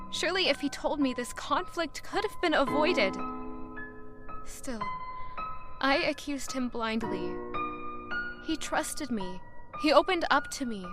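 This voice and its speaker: An actress playing a troubled young noblewoman, speaking her inner thoughts in a quiet, reflective monologue that turns pained. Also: sits high. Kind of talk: audio drama narration. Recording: clear music under the voice.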